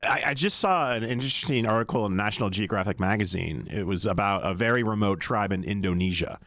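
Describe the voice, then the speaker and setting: A man's voice: lowish voice, fast, a bit bright, no echo, studio quality. A man co-hosting a casual radio talk show, chatty and speaking straight to the listeners. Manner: chatty, addresses listeners directly. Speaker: radio co-host.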